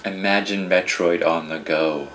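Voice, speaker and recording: A man with a fairly low voice chats casually as a podcast co-host. He speaks informally, with faint music playing behind him. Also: steady tone, measured pace, a bit bright. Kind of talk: casual chat.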